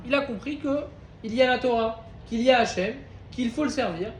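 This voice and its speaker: High-voiced man teaching in a roomy hall. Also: fairly quick, a little hiss or hum. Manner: conversational, questioning, addresses listeners directly. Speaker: Torah teacher. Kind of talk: informal Torah class to a group of men. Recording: roomy echo with faint ambience.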